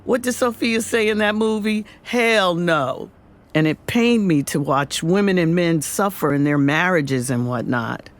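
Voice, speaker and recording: Candid older woman with a low voice, acted. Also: unhurried, lightly acted. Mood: wry. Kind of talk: audio drama acting, interview answers.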